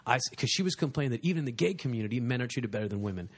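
A man talking in a brash, animated way, joking through casual chat on a podcast. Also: medium pitch, very fast, breathy edge. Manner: brash and animated, jokes a lot.

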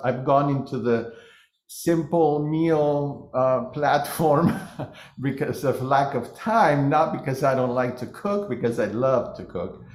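A man in his fifties, a guest cook demonstrating a recipe in a halting, casual way. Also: on the high side, darkish tone, roomy sound. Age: 50s. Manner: halting, many fillers and restarts.

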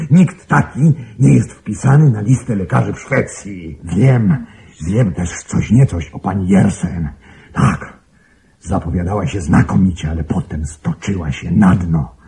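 Agitated, gravelly, bitter crippled man. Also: mid-range voice, warm tone, a little hiss or hum, heavily acted. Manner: agitated, muttering, repeating himself. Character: crippled, vengeful older man obsessed with his former fiancée. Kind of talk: radio play acting.

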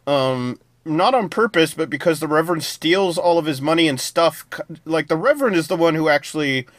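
Chatty man, bright voice, dry mic. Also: a little high, animated, a little fast, dry recording. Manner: rambling, loose plot summaries with many fillers. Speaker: podcast co-host. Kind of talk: casual TV review chat.

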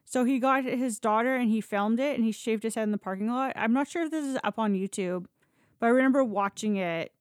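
A woman's voice: fairly high pitch, a little fast, no echo, studio quality. A woman telling stories in a casual, chatty podcast style, informal and blunt, with loose swearing. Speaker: podcast storyteller and host. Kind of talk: casual conversational storytelling.

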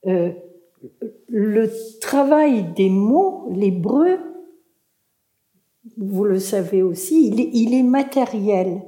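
Slow, thoughtful woman in a roomy space. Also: mid-range voice, expressive, warm tone. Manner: reflective, searching for words. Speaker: translator and author guest. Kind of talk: panel conversation. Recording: roomy echo.

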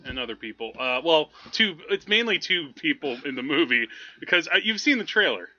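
A man with a very bright, fairly high voice chats casually as a podcast co-host. He explains things in a rambling, eager way peppered with "like", on slightly dull lo-fi audio. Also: sing-song, brisk. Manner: rambling, enthusiastic explaining, frequent "like". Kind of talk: casual film chat.

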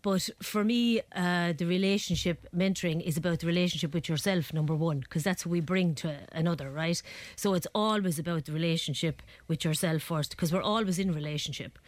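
A woman's voice: fairly low pitch, a little fast, no room sound. A woman, a psychotherapist guest on a radio interview, explaining earnestly while getting over a head cold. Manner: earnest, explanatory, frequent tag questions.

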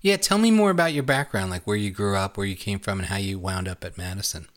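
A man hosting a casual film interview, phrasing his questions in a halting, reflective way. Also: fairly low pitch. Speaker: interview host.

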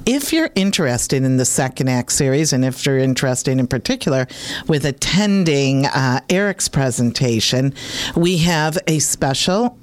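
A woman's voice: very deep voice, lively intonation, moderate pace. A woman hosting an interview, chatty and encouraging, who promotes an upcoming event and laughs often. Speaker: host. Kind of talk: community talk show interview.

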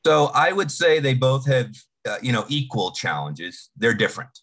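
A man in his forties from Boston, a podcast guest talking casually in a halting way, restarting and repeating words. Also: medium pitch, very animated, a bit bright. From Boston, United States. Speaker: podcast guest, security executive. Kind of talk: casual interview talk.

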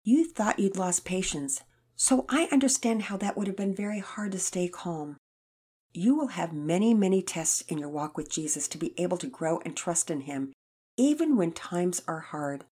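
Woman playing gentle mother, soothing, calm, slightly husky. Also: on the low side, moderate pace, voice pushed up. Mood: gentle, reassuring.

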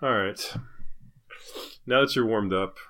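A man's voice: lowish voice, takes it slowly, pushed and bright. A man co-hosting a casual comedy podcast, bantering in a wry, teasing, jokey manner. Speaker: podcast co-host.

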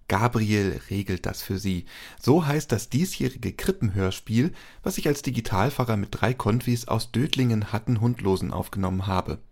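Guy, pastor hosting a church podcast, gravelly creaky voice, reading a script. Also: on the low side, a little mellow.

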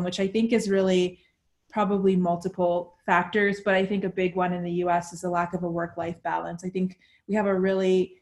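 A woman explains her views in a measured, articulate way. Her pitch barely moves, so the delivery sounds steady and level. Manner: measured, articulate, flat-toned. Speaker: panelist. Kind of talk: casual discussion.

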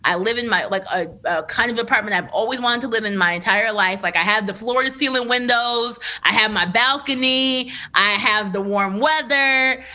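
A woman in her thirties, a podcast host, telling a candid personal story in a loose, animated way with lots of fillers. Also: a little high, normal speed, hardly any pauses. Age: 30s. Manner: animated, filler-heavy, laughs often. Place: Los Angeles area.

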